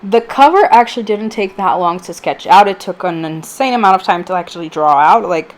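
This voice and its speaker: Female vlogger, medium pitch, voice a touch off from allergies; informal, rambling, chatty craft voice-over. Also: a little fast. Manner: informal, rambling, self-deprecating, filler-heavy. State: slightly off voice from allergies. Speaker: vlogger, channel host.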